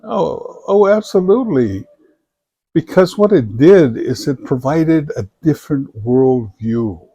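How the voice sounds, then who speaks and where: Slow, mellow older man. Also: on the high side, lively intonation, breathy edge, no room sound, highs cut off. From Samoa. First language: Samoan. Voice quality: measured, thoughtful delivery with frequent fillers. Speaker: interviewee, retired professor. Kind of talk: reflective interview answers.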